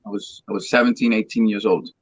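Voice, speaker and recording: A man's voice: fairly low pitch, fairly even intonation, quick pace, slightly rough, muffled recording. A man in his thirties from Colombia, a Spanish speaker, telling his story in a rambling, restarting way as an interview guest. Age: 30s. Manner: rambling, repeats words and restarts often. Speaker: guest singer. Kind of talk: casual interview chat.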